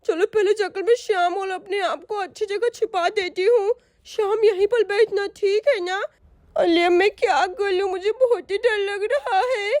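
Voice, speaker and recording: एक महिला एक छोटी गाँव की बच्ची की आवाज़ निकालती है, ऊँची और रुआँसी, डर के बावजूद हिम्मत रखती।. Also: female voice, steady tone, measured pace, darkish tone. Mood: scared. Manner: high, tearful, pleading. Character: little village girl, frightened but brave. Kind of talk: storytelling voice acting.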